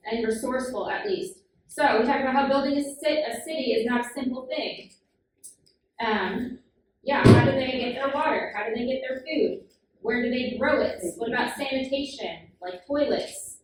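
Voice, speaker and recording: Woman teacher, children's class, patient questioning, slightly high voice, very echoey room, far from mic, noisy. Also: normal speed. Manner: patient, questioning, frequent "um" fillers.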